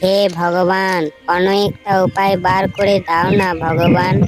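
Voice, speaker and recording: A woman's voice: lowish voice, level tone, measured pace, soft background music, close to an everyday voice. A woman voicing a kind, anxious young village boy in a cartoon, earnest and pleading.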